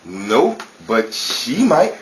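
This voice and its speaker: A man's voice: mid-range voice, sing-song, very slow. A man playing a dim, stubborn, playful husband in 1950s style radio comedy, with goofy comebacks. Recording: echoey, noisy distant live recording with crowd.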